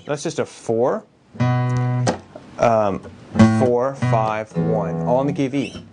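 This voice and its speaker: A man teaches guitar chords in an easygoing, conversational manner, pausing to play examples. His voice sits at a middle pitch. Loud acoustic guitar plays right under his speech. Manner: relaxed, explains while strumming chords. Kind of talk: casual guitar lesson. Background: guitar played by the speaker.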